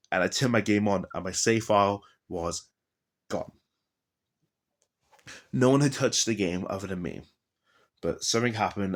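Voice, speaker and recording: A young man rambling casually and with enthusiasm about his old video games, with lots of fillers and asides. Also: low-pitched. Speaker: gaming vlogger.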